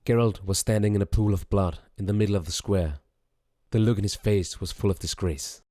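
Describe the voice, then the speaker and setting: Low-pitched male story narrator. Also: brisk, mellow, no echo, studio quality. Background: background music.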